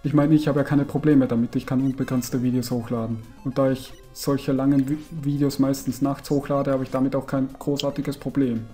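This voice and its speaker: A man with a broad Austrian dialect, mixing Carinthian and Viennese sounds, talks his way through a retro platformer. His voice is dark and mellow, and he mutters and grumbles at the game between chatty remarks. Faint game music plays underneath. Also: medium pitch, little pitch movement, brisk, hardly any pauses. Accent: Austrian, Carinthian and Viennese dialect mix. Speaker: let's player. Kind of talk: casual gaming commentary.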